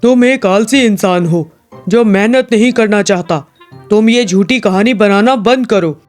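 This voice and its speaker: An actor playing a harsh, scornful rich elder brother, a merchant, in a cartoon story over music. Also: male voice, sits high, unhurried.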